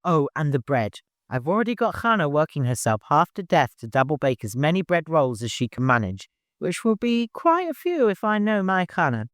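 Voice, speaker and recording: A man's voice: fairly high pitch, sing-song, moderate pace, fairly bright tone, dry recording, clean studio sound, voice pushed up. A man voicing a mischievous, teasing old storekeeper in an audiobook. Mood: brisk. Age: older. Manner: teasing, playful, exclamatory. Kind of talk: audiobook character voice.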